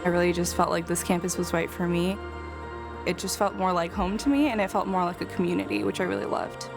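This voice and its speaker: A woman's voice: on the low side, lively intonation, brisk. A young woman in her twenties, a graduating student, talking earnestly and casually about her studies in an interview. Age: 20s. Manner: earnest, casual, with light laughs. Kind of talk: reflective interview talk. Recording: interview with music underneath. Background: background music.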